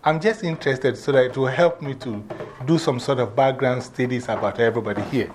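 A man's voice: medium pitch, a little fast, faint music behind. A man with a Ghanaian accent giving informal classroom instruction, directing people and repeating his instructions. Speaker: teacher of a drumming and English class.

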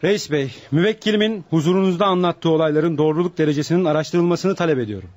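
A formal, pleading defence lawyer played by a male actor, with a fairly high voice and rapid speech. It is radio theatre acting, recorded dry. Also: darkish tone, dry recording, lo-fi sound, lightly acted. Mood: urgent, formal.

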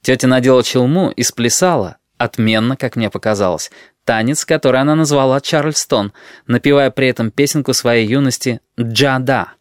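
A man calmly narrating a first-person audiobook in a measured storytelling manner. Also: medium pitch, no room sound, clean studio sound.

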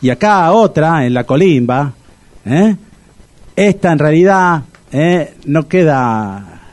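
An actor playing a bumbling, naive older bachelor in a radio comedy, stammering and repeating himself in a Rioplatense Argentine accent. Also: male voice, on the high side, expressive, slow, darkish tone. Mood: eager, proud. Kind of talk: radio comedy sketch acting.

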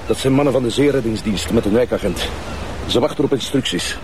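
A man's voice: medium pitch, a little fast, natural voice. A man with a Flemish accent playing a dutiful policeman who reports factually and deferentially in a TV crime drama. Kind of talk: naturalistic TV crime drama acting. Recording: noisy outdoor location sound.

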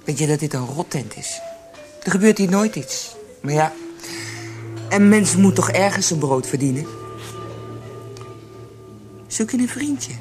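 An actress playing a blunt, cynical young bar prostitute in a radio play. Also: female voice, on the low side, expressive, a little slow, long pauses, mellow, faint music behind. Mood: teasing. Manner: teasing, blunt.

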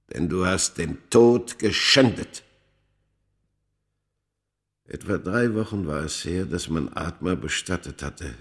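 Slow, deep, tormented undying man. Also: exaggerated voice. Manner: grave, deliberate, literary storytelling. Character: tormented undying man recounting his cursed past. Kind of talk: radio drama first-person narration.

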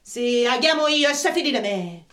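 Scheming blunt Roman, high voice, radio drama. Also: female voice, lively intonation, moderate pace, fairly bright tone. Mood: wary, conspiratorial. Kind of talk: radio play acting.

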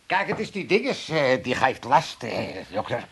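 A man's voice: mid-range voice, very animated, a little slow, creaky, a bit bright, heavily acted. An actor playing a drunken, evasive older father in a comic radio play, slurred, hesitant and rambling. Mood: flustered excuses. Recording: hissy, noisy radio recording.

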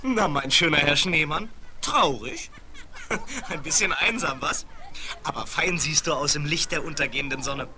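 Mocking playful storybook character, crisp bright tone, swoopy pitch, kids radio play. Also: lively intonation, moderate pace, slight background noise. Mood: teasing. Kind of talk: 1970s German children's fairy-tale audio drama.

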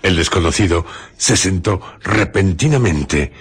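A man narrating a radio drama in a measured, literary storytelling style. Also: sits low, lively intonation, slightly rough.

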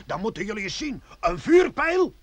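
A man playing an excitable, blustering villager who stammers, in a comic radio play. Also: high-pitched, normal speed. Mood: wildly excited. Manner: blustering, stammering, imitates bangs. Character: excitable villager infatuated with his fireworks idea.

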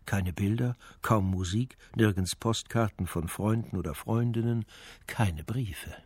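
A man's voice: fairly low pitch, unhurried, close to an everyday voice. A male actor playing the sober, matter-of-fact narrator of a detective story in a scripted radio play.

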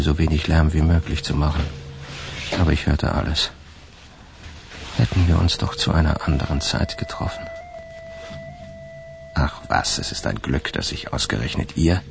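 A man acting in a radio drama as a wistful fugitive who confides in his diary in a gravelly, measured voice. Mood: resolute, reflective. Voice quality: deep, gravelly, creaky. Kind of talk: radio drama first-person narration.

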